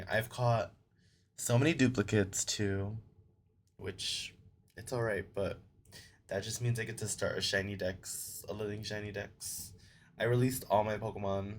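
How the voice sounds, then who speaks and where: A man's voice: lowish voice, a little hiss or hum. A young man streaming a game and chatting with viewers, his voice weak from a recent illness and a lingering cough. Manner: chatty, friendly, greets viewers by name. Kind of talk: casual chat with live chat.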